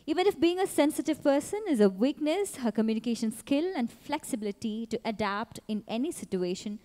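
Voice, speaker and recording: Woman, pageant announcer, scripted introductions, measured delivery, high pitch, reverberant hall sound. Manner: measured, even reading of short biographies.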